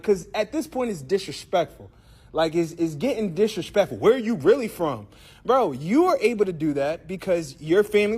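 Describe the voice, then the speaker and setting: A man with a husky, fairly high voice delivers blunt reaction commentary. His pitch swings widely as he talks. He keeps the tone casual and direct. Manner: blunt, calls listeners "bro" and "dog".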